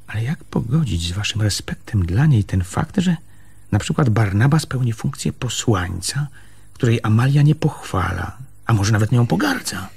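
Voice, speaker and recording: An actor playing an earnest, suspicious newcomer to a village in a radio drama, hesitant and stammering, with a husky voice. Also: male voice, fairly low pitch, darkish tone, full character voice. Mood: probing. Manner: hesitant, stammering, breaking off and restarting sentences.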